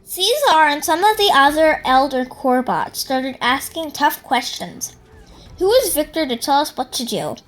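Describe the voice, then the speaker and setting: An earnest, resolute rebel robot played by a woman records her account of an uprising in a high female voice. She tells it as a solemn, scripted game log, with music playing softly behind her. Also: very animated, moderate pace, rough recording. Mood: earnest, reflective. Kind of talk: scripted dramatic log narration.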